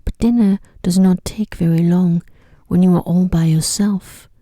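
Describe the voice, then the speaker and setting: A woman reading a sleep story in ASMR style, with a soft, whispery voice and a gentle, hushed delivery. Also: fairly low pitch, no room sound, clean studio sound.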